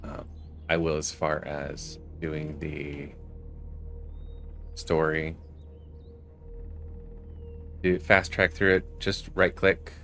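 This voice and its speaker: A man with a very deep voice hosts a gaming walkthrough. He explains as he plays, in commentary style, with music playing faintly behind him. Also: little pitch movement, extremely slow, long pauses, bright, forceful tone. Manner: explanatory, reads game text aloud. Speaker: gaming video host.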